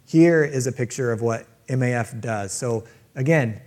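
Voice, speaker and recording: Missionary man talking to a congregation, mid pitch, relaxed and plain. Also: normal speed. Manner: casual, plainspoken, with fillers. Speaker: missionary speaker. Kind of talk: church presentation talk.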